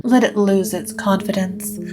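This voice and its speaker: Measured, slow-paced woman narrating supernatural fiction. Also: medium pitch, fairly even intonation, over music. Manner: measured, literary storytelling.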